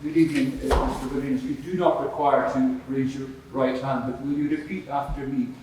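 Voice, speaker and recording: A man playing a brisk, authoritative judge who gives formal procedural instructions from the bench. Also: mid-range voice, steady tone, natural voice. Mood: official. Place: large echoing courtroom. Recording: very echoey hall, distant, noisy.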